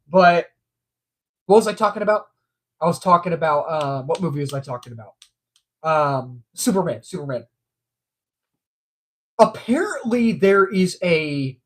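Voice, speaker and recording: A man hosting a casual movie news livestream, stuffed up from allergies, rambling and repeating himself with frequent ums. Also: a little high.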